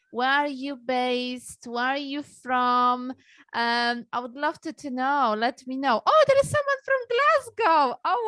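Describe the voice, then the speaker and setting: A woman leads an online webinar as a dating coach, talking slowly and warmly with frequent fillers and small laughs. Her voice sits high and bright. The sound is dry and close, like a computer mic on a call. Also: dry recording. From Poland. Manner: slow, friendly, with frequent fillers and soft laughs. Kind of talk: live webinar presentation. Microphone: computer mic on a video call.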